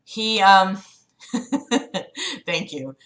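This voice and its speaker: A woman's voice: mid-range voice, sing-song, very slow, a bit bright. A woman chatting casually as a livestream host, rambling hesitantly in a self-deprecating way. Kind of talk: casual chat with livestream audience.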